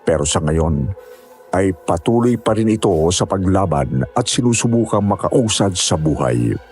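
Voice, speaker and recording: A man's voice: sits low, animated, normal speed, a little mellow, soft background music. A man reading a scripted first-person horror story as narrator.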